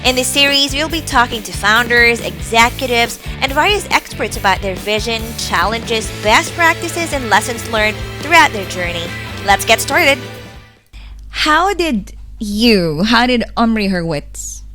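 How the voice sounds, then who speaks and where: A woman hosting a podcast, reading out a guest introduction in a measured way and opening with a question. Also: fairly high pitch, lively intonation. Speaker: podcast host. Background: faint music.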